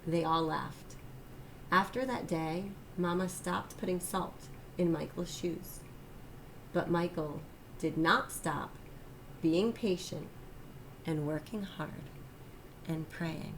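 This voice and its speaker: A woman reading a children's story aloud like a teacher, expressive and deliberate, stressing the key phrases. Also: lowish voice, a little hiss or hum, poor audio. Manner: expressive, deliberate, emphasizes and repeats key lines. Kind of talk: children's read-aloud narration.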